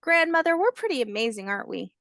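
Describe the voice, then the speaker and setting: A woman voicing a curious, excitable little alligator hatchling in a storybook, asking things in an eager, wide-eyed way. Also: high voice, fast talker, gravelly, dry recording, clean studio sound, heavily acted. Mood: wondering.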